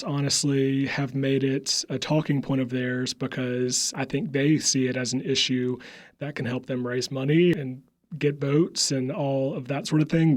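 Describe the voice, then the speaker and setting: A young man with a Southern accent answers interview questions in an earnest, eager way. His pitch sits in the middle range. He now and then stumbles over fillers. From upstate South Carolina. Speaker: guest, think tank media staffer. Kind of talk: conversational interview.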